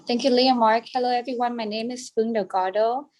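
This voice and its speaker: A woman's voice: on the high side, steady tone, darkish tone. A woman working as a student services specialist explains enrollment steps to new students over a video call. She often stops and restarts as she explains. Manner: halting explanations with frequent restarts. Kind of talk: online information session presentation.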